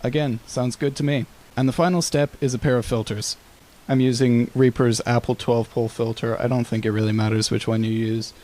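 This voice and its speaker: A man's voice: mid-range voice, level tone, brisk, dark tone. A man presenting an audio-production tutorial in an informal, matter-of-fact, slightly tentative way.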